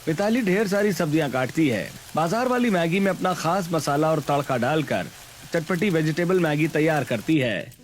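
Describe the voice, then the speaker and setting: Higher-pitched man narrating over music. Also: animated, normal speed, slight background noise. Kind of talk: scripted storybook narration for a cartoon. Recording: background music under voice.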